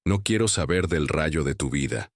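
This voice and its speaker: A man's voice: rumbling low, lively intonation, normal speed, dry recording, studio quality. A man voicing an irritable, temperamental wealthy doctor who talks curtly and impatiently in a spoken horror story. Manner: curt and impatient.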